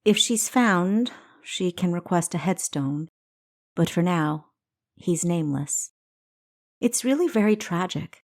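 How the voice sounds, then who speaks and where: A woman's voice: fairly low pitch, lively intonation, slow pace, darkish tone. A woman voicing a compassionate, troubled female doctor in an audiobook, sorrowful and earnest. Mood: solemn. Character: anxious, compassionate woman doctor mourning a tragedy.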